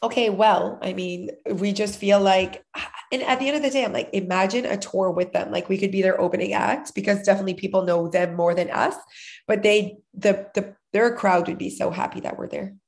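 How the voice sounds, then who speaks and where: A woman's voice: mid-range voice, fairly even intonation, brisk, creaky. A woman in her thirties co-hosting a podcast, chatty and laughing often, a little nasal and sick. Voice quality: slightly nasal. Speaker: podcast co-host. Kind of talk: casual podcast chat.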